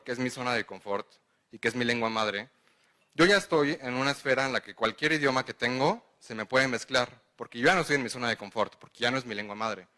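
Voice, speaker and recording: Man, Mexican accent, lecturer, explanatory, checks in with listeners, bright tone. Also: medium pitch. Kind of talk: conference lecture answering questions.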